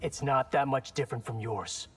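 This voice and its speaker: A man's voice: mid-range voice, normal speed, loud music behind the voice, close to an everyday voice. A man voicing a cocky, wry young fighter who trades casual quips in video game dialogue. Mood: casual, dry.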